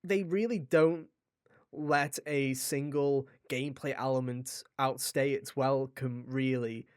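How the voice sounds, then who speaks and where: A man's voice: mid-range voice, fairly even intonation, takes it slowly, smooth, dry recording, studio quality. A man co-hosting a video game podcast, chatting in an informal, rambling and jokey way. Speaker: podcast co-host reviewing video games. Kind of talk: casual chat.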